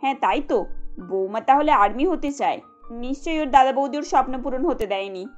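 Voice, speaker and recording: Woman playing a high-voiced, kindly mother-in-law. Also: expressive, fairly quick, muffled recording, soft background music. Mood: determined. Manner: motherly, gently insistent. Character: kindly, caring middle-aged mother-in-law, protective and reassuring.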